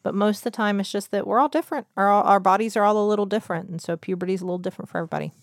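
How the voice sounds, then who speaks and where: A woman's voice: medium pitch, rapid-fire, no echo. A woman with a mid-range voice, recorded dry and close with no room sound. She is a doctor answering a child's medical questions. She talks patiently and reassuringly, in plain, simple words. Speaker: doctor and podcast host.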